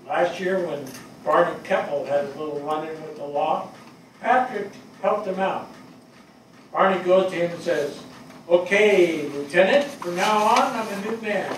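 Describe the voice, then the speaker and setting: An older man acting a plainspoken, earnest fire captain in a stage play reading, talking colloquially and haltingly with emphasis. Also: sits high. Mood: fond reminiscing. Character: plainspoken, earnest New York fire captain reminiscing fondly. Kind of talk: dramatic stage reading, conversational. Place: on stage in a theatre before an audience. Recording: distant mic, echoey hall, audible noise.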